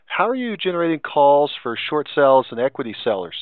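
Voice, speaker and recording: A man's voice: on the high side, big pitch swings, fast talker, no echo. A man hosting a podcast interview, asking probing follow-up questions.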